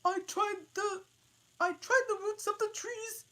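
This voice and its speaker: A woman's voice: very high voice, steady tone, unhurried, fairly bright tone, voice pushed up. A shrieking frantic mother pigeon. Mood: wailing. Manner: screeching, wailing, strained.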